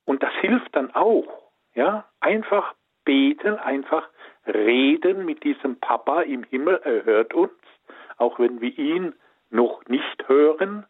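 A man's voice: fairly high pitch, animated, slow pace, husky, no room sound. Reassuring male counselor on a tinny line. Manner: warm, reassuring, gently emphatic. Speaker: pastoral counselor, studio guest expert. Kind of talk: advice on a call-in show. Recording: dry, tinny phone-like line.

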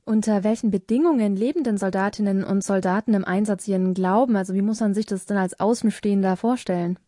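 A woman's voice: medium pitch, quick pace, warm tone, dry recording, clean studio sound. A woman interviewer asking long, formal, well-prepared questions in a composed manner.

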